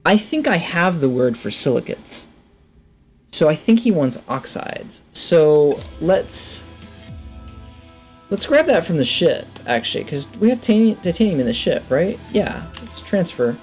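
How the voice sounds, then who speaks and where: A man's voice: a little high, very animated, a little slow, soft background music. A man in his thirties giving casual gaming commentary into a headset, musing to himself as he plays. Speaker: gaming video host. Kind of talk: casual let's play commentary, reading game text aloud.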